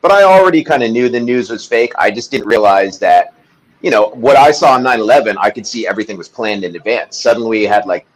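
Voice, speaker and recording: A man's voice: fairly low pitch, animated, fast talker, low-quality recording. A man, a podcast guest, talking in a casual interview chat, intense and emphatic as he tells his story.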